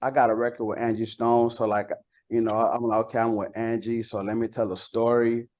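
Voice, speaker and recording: Male interview guest from Costa Rica, Spanish first language, mid-pitched voice, informal slangy chat. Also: little pitch movement, a little fast, a little mellow. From San Jose, Costa Rica. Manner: colloquial, peppered with "like" and "you know". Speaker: interview guest, bilingual rapper and songwriter.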